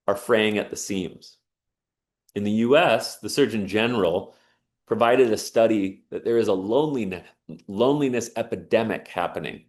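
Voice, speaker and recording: A man giving an earnest, emphatic inspirational talk and telling a personal story. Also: lowish voice. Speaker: speaker on sauna and resilience.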